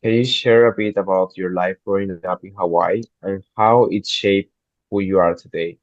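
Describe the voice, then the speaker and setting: A man's voice: low-pitched, steady tone, moderate pace, dry recording, phone-quality sound. A man hosting a student interview, reading his introductions and questions in an upbeat, friendly way.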